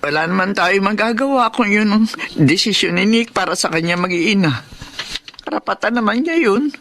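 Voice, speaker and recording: A woman's voice: medium pitch, lively intonation, moderate pace, fairly bright tone, slight background noise, lightly acted. A woman playing an elderly, devoted Filipino grandmother in a radio drama, with a tender, plaintive way of talking. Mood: sorrowful. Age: older. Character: elderly grandmother, sorrowful, devoted, supportive mother.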